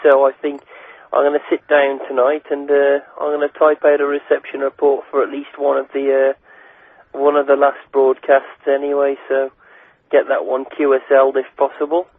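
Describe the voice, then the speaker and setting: A man's voice: medium pitch, monotone, normal speed, no room sound. A young man chatting casually and hesitantly as a listener on a phone call. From England. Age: young adult. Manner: hesitant, repeats words and adds "like" and "you know". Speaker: radio listener giving his reaction by phone. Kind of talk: casual chat. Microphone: telephone. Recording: tinny, dry phone line.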